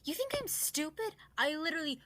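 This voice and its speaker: Woman as a scornful guard, animated and highish. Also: a little fast, no echo, full character voice. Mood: indignant. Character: cocky, combative female guard, scornful. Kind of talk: fantasy audio drama acting.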